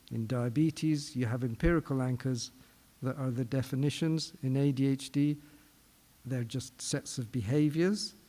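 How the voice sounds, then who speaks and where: A middle-aged man from England giving a measured public lecture as a psychiatrist, posing questions and restarting phrases as he explains. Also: medium pitch, mellow, big-room sound. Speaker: psychiatrist giving a forum talk. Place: conference hall.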